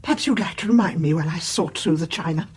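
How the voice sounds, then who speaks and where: An actress playing a frail, genteel elderly lady in a radio drama, with a husky, breathy voice and a fussy, faltering manner. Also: low voice, fast talker. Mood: vague, placating. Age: older.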